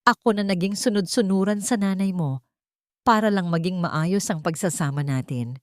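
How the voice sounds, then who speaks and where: A woman's voice: lowish voice, normal speed, no room sound, studio quality, natural voice. A woman with a Filipino accent voicing a hurt, defiant pregnant wife in an audio story, pleading and indignant. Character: wounded, defiant young wife and expectant mother.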